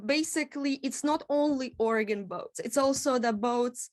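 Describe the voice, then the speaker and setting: A woman from Kazakhstan giving a measured presentation at a public panel, with frequent "um" pauses. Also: high voice. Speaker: executive director, invited speaker.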